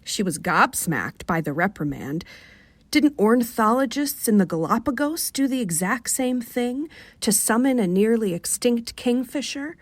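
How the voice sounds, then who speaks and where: A woman with a Rochester, New York accent reading a short story for radio in an expressive, wry manner. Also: mid-range voice, breathy edge, dry recording. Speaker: author reading aloud.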